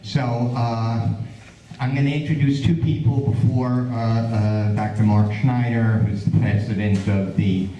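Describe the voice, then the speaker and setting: Halting older-sounding man, echoey room. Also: mid-range voice, fairly even intonation, dark tone, slight background noise. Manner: halting, many fillers and restarts. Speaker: club president introducing speakers. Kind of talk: informal meeting introduction. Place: community meeting room. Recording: echoey room, far from the mic.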